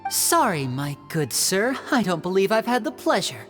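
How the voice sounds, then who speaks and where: A woman's voice: medium pitch, very animated, moderate pace, a bit bright, faint music behind. A woman voicing a polite, composed young magician in a game, courteous and dry. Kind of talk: game voice acting.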